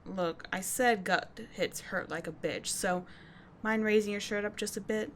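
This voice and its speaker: A woman's voice: mid-range voice, measured pace, slight background noise, close to an everyday voice. A woman playing a tough but caring teenage delinquent schoolgirl in an ASMR roleplay, speaking in a slangy, blunt yet soothing way. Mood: casual, bossy, caring. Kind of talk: ASMR roleplay audio drama.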